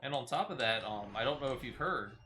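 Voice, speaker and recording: Bright-voiced man chatting on a game stream. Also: medium pitch, lively intonation, fast talker, slight background noise. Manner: conversational, chatting to a viewer. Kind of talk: casual live-stream chat while playing a game.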